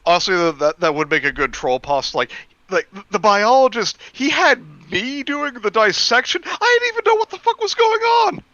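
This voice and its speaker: A man chatting casually and jokingly as a guest on a stream, halting now and then and swearing. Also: very high voice, very animated, pushed and bright, no echo, dull audio.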